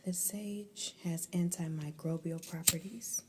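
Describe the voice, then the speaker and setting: A woman whispering a guided ASMR meditation in a breathy, soothing, deliberate way, pausing between phrases. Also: lowish voice, fairly even intonation, background noise.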